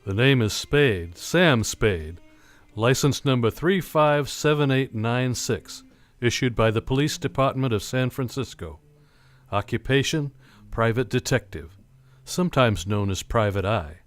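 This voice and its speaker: An actor playing a hard-boiled, cynical private eye, narrating a radio play in slangy, matter-of-fact noir style. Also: male voice, mid-range voice, expressive, a little slow, close to an everyday voice. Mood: cool, wry. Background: music.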